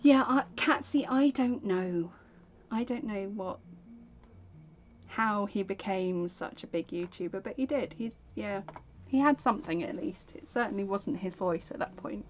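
Unhurried woman streaming a game. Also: mid-range voice, highs cut off, a little hiss or hum. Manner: chatty, rambling, thanks viewers by name. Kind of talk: casual live-stream chat. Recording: game music playing underneath.

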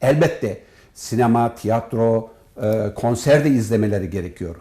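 An older man, a professor answering interview questions in formal wording, speaking in a measured way with frequent pauses. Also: lowish voice, lively intonation, mellow. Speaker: professor, head of an animation department.

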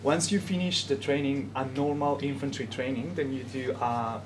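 A young man from Peru with a Peruvian Spanish accent, talking to a class in a halting way with frequent pauses. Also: mid-range voice, poor audio. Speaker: guest speaker. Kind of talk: informal talk to a class. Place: classroom, indoors. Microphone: camera in the room. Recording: classroom recording with steady noise.

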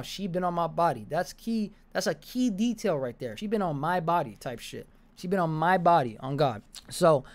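A man's voice: on the high side, darkish tone. A young American man telling a casual story to his stream chat, slangy and profane, repeating phrases for emphasis, close to a desk mic. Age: young adult. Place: bedroom or basement room, indoors. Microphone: desk microphone close to the mouth, with headset.

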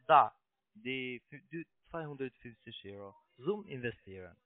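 A man with a foreign accent, not a native speaker, making a halting sales pitch over the phone in broken sentences with repeated restarts. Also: lively intonation. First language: not German. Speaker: phone sales agent, investment scam caller. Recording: tinny narrow phone line.

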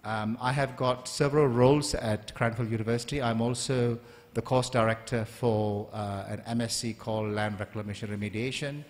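A man in his forties, from Malaysia, giving a polite, measured university lecture at a stand microphone with frequent ums and uhs. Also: medium pitch, fairly even intonation. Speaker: guest lecturer. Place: large lecture hall, standing at a lectern. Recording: long hall reverb.

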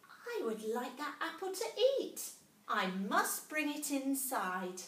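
A woman voicing a tiny, polite and eager female mouse with a squeaky voice in a storybook. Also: very animated, takes it slowly, a bit bright, heavily acted. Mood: eager, hopeful. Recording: roomy classroom, distant mic.

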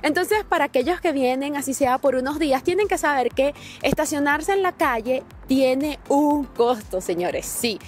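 Young woman, high voice, lively, chatty, outdoor park, small mic. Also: normal speed, few pauses, faint music behind. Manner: lively, chatty, addresses viewers directly. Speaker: vlogger. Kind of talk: advice vlog to camera. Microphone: small handheld clip mic.

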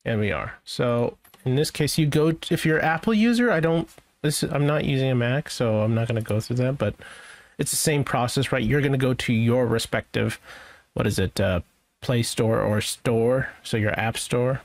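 A man presenting a software tutorial in a casual, informal way, thinking aloud as he goes. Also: mid-range voice, normal speed, dry recording. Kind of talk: casual screen-share software walkthrough.

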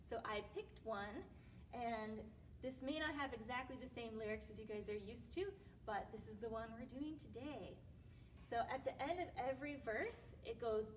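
A woman in her thirties hosting a sing-along storytime in a library, speaking directly and encouragingly to young children. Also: on the high side. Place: library room among bookshelves, indoors. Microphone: camera mic a few metres away. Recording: distant mic, room noise.

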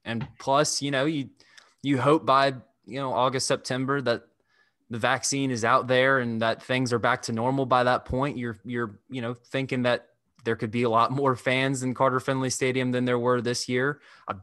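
A young man hosting a sports podcast over a video call, talking in a measured, analytical way at a desk microphone. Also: mid-range voice, little pitch movement, fairly bright tone, dry recording, studio quality. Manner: measured, analytical, restarts phrases. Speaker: podcast host. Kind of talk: casual sports talk. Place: indoor room.

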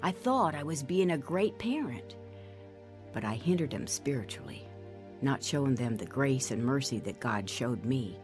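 Low-voiced, remorseful woman. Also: sing-song, normal speed, over music. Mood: regretful narration. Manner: earnest, regretful. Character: remorseful woman telling her life story, burdened by shame. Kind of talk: dramatized first-person testimony.

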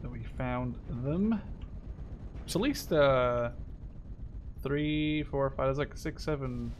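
A man's voice: medium pitch, slow, slight background noise. Young man commentating casually over game gunfire. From Netherlands. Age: 20s. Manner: sweary, thinking aloud. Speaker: video game streamer. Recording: gunfire and explosions from game behind. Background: war game artillery and gunfire.

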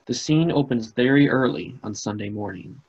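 A man's voice: mid-range voice, moderate pace. A man giving a scripted introduction as announcer on a video call. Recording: muffled, noisy video-call audio.

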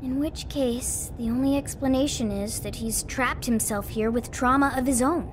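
A woman's voice: high voice, normal speed, noisy background, lightly acted. A woman voicing a clever, businesslike talking cat who leads with analytical decisiveness in an anime game. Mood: analytical, decisive.

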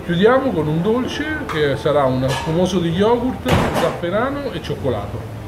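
A man's voice: high-pitched, sing-song, moderate pace. A man in his forties from Umbria, a chef talking in detail during an on-camera interview, with frequent fillers and restarts. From Umbria, Italy. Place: busy restaurant kitchen during service. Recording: kitchen noise behind.

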